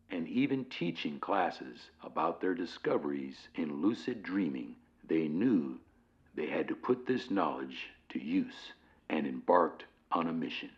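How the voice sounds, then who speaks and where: A man playing a calm, formal corporate training guide in an analog horror video, speaking deliberately with measured pauses on a dull lo-fi recording. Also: deep voice, full character voice. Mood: solemn, grand. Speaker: narrator of fictional company training guide. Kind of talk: scripted instructional narration, analog horror.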